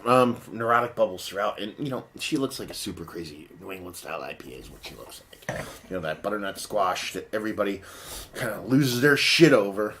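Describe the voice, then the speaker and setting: A man casually reviewing a beer in a rambling, profane way, his voice partly lost and sitting lower than usual. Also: lively intonation, a little fast, fairly bright tone, a little hiss or hum.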